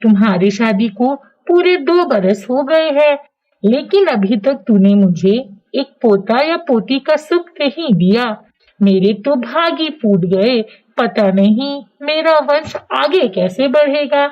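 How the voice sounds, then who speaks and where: A woman's voice: sits high, lively intonation, slow. A woman voicing a bitter, nagging older female crow mother-in-law in a cartoon story, harsh and lamenting. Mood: angry scolding. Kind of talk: Hindi cartoon moral story dialogue. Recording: dull, lo-fi sound.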